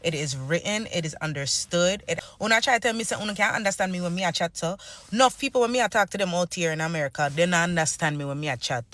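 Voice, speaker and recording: A Jamaican-accented man makes his case straight to viewers, slipping into dialect. His voice is sharp and forceful, fairly high, and he talks quickly. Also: dry recording. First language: Jamaican Patois. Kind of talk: direct-to-camera talk, switching into dialect.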